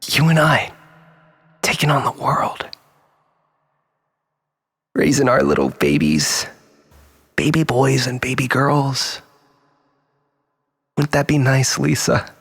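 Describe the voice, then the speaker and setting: Man acting a husky, volatile, obsessive jilted lover. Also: mid-range voice, a bit bright, heavily acted. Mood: pleading, tender. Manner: volatile, halting, swinging between breathy whispers and outbursts. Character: obsessive, bitter, unhinged jilted man ranting at his lover. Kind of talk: dramatic first-person monologue.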